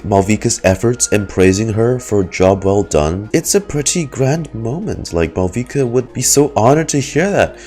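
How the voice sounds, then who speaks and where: A man giving casual, slangy reaction commentary on a game as a streamer, expressive and sweary. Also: on the low side. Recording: game music behind the voice.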